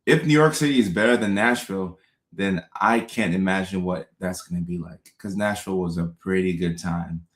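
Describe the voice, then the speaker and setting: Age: 30s. Sex: man. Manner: upbeat comedian greeting viewers, low voice, echoey room. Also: normal speed. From Ghana. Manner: upbeat, repeats thanks and shout-outs. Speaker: comedian and show host. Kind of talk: casual livestream chat reading out viewer comments. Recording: roomy echo.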